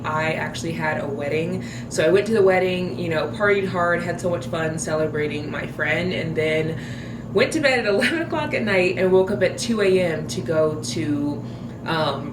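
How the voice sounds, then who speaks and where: A young American woman with a slightly crackly, tired voice, chatting casually and rambling in an informal book vlog. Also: on the low side. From US Midwest. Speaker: book vlogger. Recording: roomy echo, distant mic, clear background noise.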